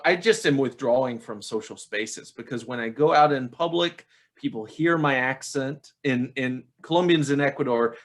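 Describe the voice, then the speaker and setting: Author, male, mid-pitched; thoughtful, explanatory interview answers with frequent fillers. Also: a bit bright. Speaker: author interviewed about his book.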